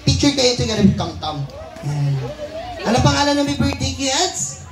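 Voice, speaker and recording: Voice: high voice, sing-song, normal speed, music in the background. A Filipino ventriloquist hosting a children's puppet show with playful live banter. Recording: echoey venue with crowd noise.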